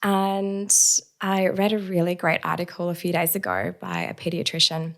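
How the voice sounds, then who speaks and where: A woman with a raspy, hoarse voice from a recent illness, talking reflectively as a podcast guest with many soft fillers. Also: fairly low pitch, moderate pace. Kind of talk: casual interview chat.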